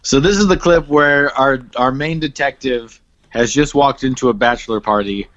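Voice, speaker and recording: A man of medium-pitched voice co-hosts a comedy podcast. He riffs and jokes in loose, casual banter. The audio is slightly dull and lo-fi. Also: normal speed, fairly bright tone, dry recording. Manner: riffing, joking.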